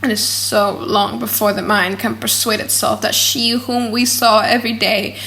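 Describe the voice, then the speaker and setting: A woman reading a gothic novel as an audiobook in a dramatic, theatrical and highly expressive manner. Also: normal speed, creaky, rough recording. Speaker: audiobook narrator.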